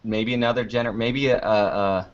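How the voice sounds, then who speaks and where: A man's voice: medium pitch, fairly even intonation, normal speed. A young American man chatting casually as an interviewed podcaster, with a joking, playfully melodramatic manner. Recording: dull lo-fi call-like audio.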